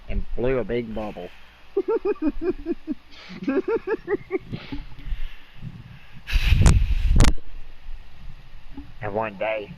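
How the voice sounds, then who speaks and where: A man telling an improvised comic bedtime story in a casual, halting way, often repeating and rephrasing himself. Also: on the low side, fairly even intonation, darkish tone. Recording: dull lo-fi audio with faint noise.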